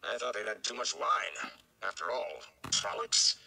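Man as a blustering old village mayor, animated pitch. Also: measured pace, gravelly, a crowd in the background, heavily acted. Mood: excited. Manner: emphatic, excitable.

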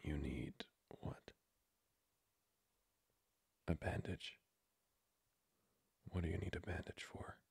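Man playing a very deep, whispering vampire lord. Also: darkish tone, no echo, exaggerated voice. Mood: courteous, flirtatious. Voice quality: breathy, whispery, gravelly. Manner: formal, slow, seductively polite. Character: flirty, courtly male vampire lord, hungering for blood. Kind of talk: intimate ASMR roleplay.

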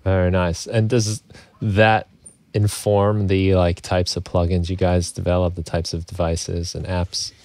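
A man hosting a music production podcast, chatting in a relaxed, conversational way over a video call from his home studio. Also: sits low, measured pace, dry recording. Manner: relaxed, conversational, chuckles while talking. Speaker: podcast host. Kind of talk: casual interview chat.

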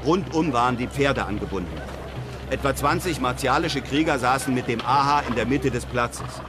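An actor playing a bold, self-assured adventurer hero who narrates his own exploits in a radio drama, measured and assured. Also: medium pitch, close to an everyday voice. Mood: narrating, composed. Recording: music behind the voice.

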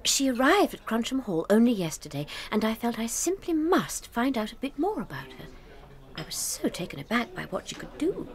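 An actress playing a sweet, gentle British primary school teacher in a dramatised audiobook, speaking politely, patiently and earnestly. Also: female voice, on the high side, sing-song, moderate pace. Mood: earnest, amazed.

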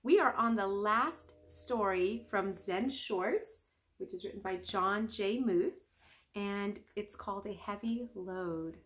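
A young woman reading a children's storybook aloud in an expressive, teacherly way with careful pauses. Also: medium pitch. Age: young adult.

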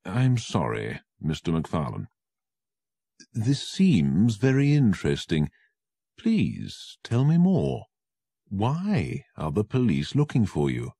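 A man voicing a self-assured male detective in a crisp, confident manner. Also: on the low side, huge pitch swings, slow pace, mellow, dry recording, studio quality, voice pushed up, exaggerated voice. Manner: crisp, confident, slightly lifted.

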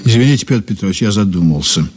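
An actor playing a sceptical investigator in a radio drama, with a measured, ironic, questioning manner. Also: male voice, lowish voice, animated, a little mellow, soft background music, lightly acted. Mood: wry, probing. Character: Leonid Borisovich, sceptical male investigator, probing and wry. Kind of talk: Soviet-era radio drama acting.

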